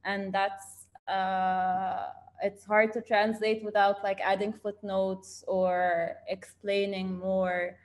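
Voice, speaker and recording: A young woman talks over a video call from her home. She hesitates often, fills pauses, and drops Arabic words into her sentences. Her pitch sits in an ordinary middle range. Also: level tone. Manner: hesitant, many fillers, mixes in Arabic words. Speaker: workshop participant and translator. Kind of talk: panel discussion on a video call. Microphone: laptop mic on a video call.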